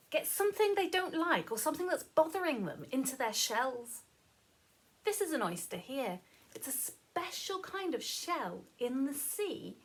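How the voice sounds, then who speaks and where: Animated high-pitched woman reading to children. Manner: sing-song, expressive, prompting listeners with questions. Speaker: teacher reading a story to children. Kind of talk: animated children's story read-aloud with explanations.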